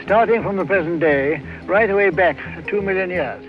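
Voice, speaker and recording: A man's voice: high voice, normal speed. A man narrating an old documentary in a formal, declamatory way. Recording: muffled old documentary soundtrack. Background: music.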